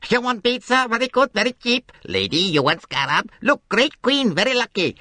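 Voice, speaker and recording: A shrill pushy street hawker. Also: male voice, very animated, pushed and bright, dry recording, exaggerated voice. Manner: rapid sing-song haggling patter. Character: pushy male street hawker, broken English.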